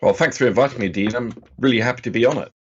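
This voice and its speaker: A man in his forties from Norway, chatting as a podcast guest with a mid-pitched voice. He is enthusiastic and self-deprecating, and the recording is a little dull and lo-fi. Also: racing, breathy edge. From Oslo, Norway. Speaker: podcast guest, financial journalist. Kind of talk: casual conversational storytelling.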